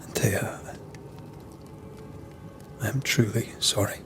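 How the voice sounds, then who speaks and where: An actor playing a grieving, remorseful ghost hunter in a video game, hushed, hesitant and earnest. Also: male voice, takes it slowly, soft background music. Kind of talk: dramatic video game acting.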